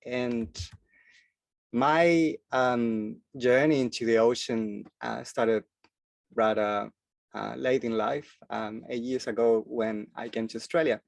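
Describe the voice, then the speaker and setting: A slow-speaking man with a gravelly edge to his voice. He gives a forum presentation and often pauses on filler sounds as he finds his words. The sound is dry and close. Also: mid-range voice, steady tone, dry recording. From Chile. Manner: hesitant, many filler sounds. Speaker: freediving instructor and guest speaker.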